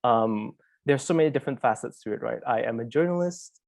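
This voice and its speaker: A man with a Malaysian accent speaks as a presenter at an online talk. His voice sits at a middle pitch and he talks informally, engaging listeners directly. The sound is dry, with very little room in it. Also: brisk, creaky, a little mellow, no echo. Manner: conversational, prompts listeners with repeated questions. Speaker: investigative journalist presenting as a webinar speaker. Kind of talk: informal interactive webinar presentation.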